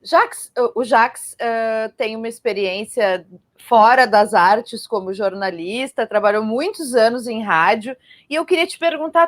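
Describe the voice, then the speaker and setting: A woman with a southern Brazilian accent hosts a relaxed live interview show. Her voice is a little high, and she speaks in a chatty, playful, informal way. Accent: southern Brazilian, Porto Alegre. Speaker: host, journalist.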